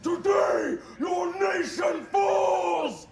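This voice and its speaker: A man's voice: very high voice, extremely slow, vocal fry, echoey room, shouting, a loud crowd, pitched above the performer's own voice, full character voice. A man voicing a bombastic, powerful old wizard headmaster who makes grandiose threats in a tabletop game. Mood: thundering.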